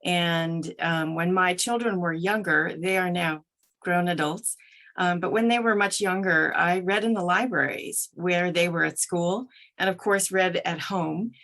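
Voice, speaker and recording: A woman's voice: lowish voice, measured pace, a bit bright. A middle-aged woman who narrates audiobooks, answering interview questions conversationally as a podcast guest.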